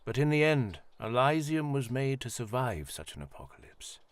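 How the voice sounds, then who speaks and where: A man playing an elderly high priest in a fantasy audio drama, kindly and solemn, speaking in a formal, measured, reassuring way. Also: very animated, no echo, natural voice. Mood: solemn, reflective.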